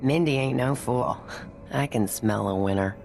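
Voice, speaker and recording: Gravelly, brash gangster woman. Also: very deep voice, unhurried, heavily acted. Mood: cocky, flirty. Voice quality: gravelly, creaky. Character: brash, flirty, streetwise female gangster tattooist. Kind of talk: video game voice acting. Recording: game music behind the voice.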